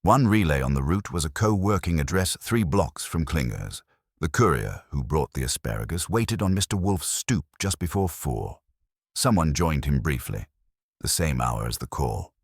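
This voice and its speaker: A man with a very low, husky voice narrates detective fiction. His pitch moves about in a lively way, and the sound is dry and close, with a scripted audiobook delivery. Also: a little slow, dry recording.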